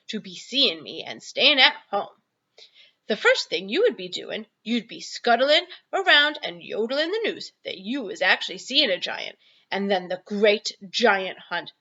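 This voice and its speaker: A woman voicing a big, friendly, excitable giant from a children's storybook, earnest and gentle, with emphatic, drawn-out words and folksy muddled grammar. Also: mid-range voice, highs cut off, full character voice. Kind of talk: children's storybook read-aloud.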